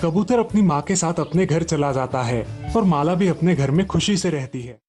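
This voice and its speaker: A man's voice: fairly high pitch, expressive, moderate pace, a little mellow, soft background music. A man narrating a scripted children's story as the storyteller.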